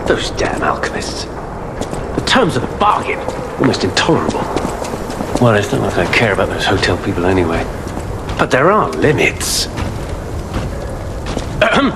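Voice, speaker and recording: An actor playing a vain, boastful monster hunter with pompous, theatrical formal diction in a video game. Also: male voice, on the low side, huge pitch swings, normal speed, pauses often, heavy background noise. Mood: grumbling.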